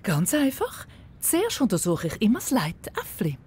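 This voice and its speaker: A woman's voice: mid-range voice, wildly swooping pitch, moderate pace, darkish tone, slightly rough, soft background music, heavily acted. A woman playing a confident, friendly and capable zoo vet in a children's story, with a Swiss German accent and an assured, playful manner. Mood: brisk, confident.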